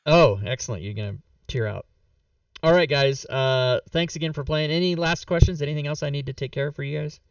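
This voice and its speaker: A man's voice: on the high side, a little fast, gravelly, dry recording, far from the mic. A male game master winding down a stream in a loose, rambling way, full of "uh"s, his voice starting to go. His mic sits a bit back from him. The sound is dry and dull. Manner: rambling, relaxed, lots of fillers. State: losing his voice. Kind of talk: casual end-of-stream chat.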